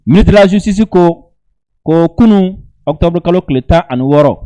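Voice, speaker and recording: Higher-pitched West African man, mellow voice. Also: animated, normal speed. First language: Bambara. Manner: emphatic, repeats phrases for emphasis. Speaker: online video commentator. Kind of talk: reading communiqués aloud with informal commentary.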